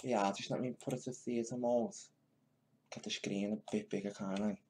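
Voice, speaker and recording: A man's voice: lowish voice, fairly even intonation, normal speed, darkish tone, slight background noise. A man hosting a video, muttering to himself while he fiddles with clips, with mocking and swearing asides. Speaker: video host reacting to news clips. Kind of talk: casual muttered commentary.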